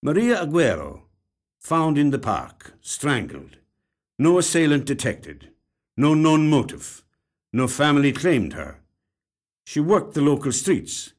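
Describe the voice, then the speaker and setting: A weary, grave male detective, acted by a story reader, speaks slowly and deliberately. His pitch sits raised and he leaves heavy pauses, as in an audio short story. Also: big pitch swings. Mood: matter-of-fact, ominous.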